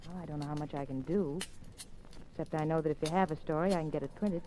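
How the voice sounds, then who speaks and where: An actress playing an earnest, caring young newspaper reporter, sincere and pleading, in 1940s radio style. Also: female voice, deep voice, steady tone, fast, warm tone, lightly acted. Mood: earnest, probing. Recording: noisy old radio recording, music behind.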